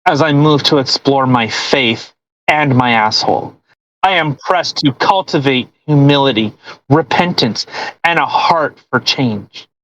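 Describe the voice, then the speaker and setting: A podcast co-host reading a post aloud with casual, mocking commentary, sarcastic and quick to swear and interject. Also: mid-range voice, fairly bright tone, poor audio.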